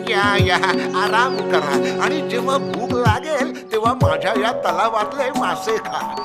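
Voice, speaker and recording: A woman voicing a proud, foolish old heron in a children's animated fable, effusive and drawn-out. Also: on the high side. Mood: effusive, insistent. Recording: loud music under the voice.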